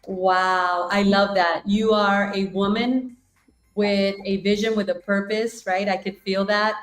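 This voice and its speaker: A woman's voice: mid-range voice, flat delivery, unhurried. A woman hosting a podcast, effusive and encouraging toward her guest. Her mid-range voice carries a roomy echo, with faint music underneath. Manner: effusive and encouraging, with many "right?" and "I love that". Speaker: podcast host, business coach. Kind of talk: casual interview conversation.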